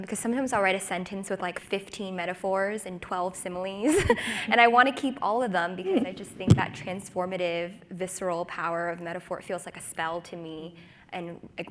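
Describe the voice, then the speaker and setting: A woman on a writers' panel, eager and quick to laugh, with lots of fillers. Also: fairly low pitch, fairly bright tone, slight background noise. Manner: enthusiastic, laughs often, many fillers. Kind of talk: panel discussion. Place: conference stage.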